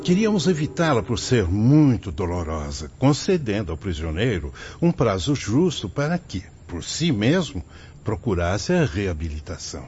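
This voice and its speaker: A male actor playing a grave spirit overseer in a radio drama, speaking formally and deliberately. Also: on the low side, big pitch swings, darkish tone. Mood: grave, resigned. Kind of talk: radio drama acting in Portuguese. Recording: dull lo-fi audio, faint noise.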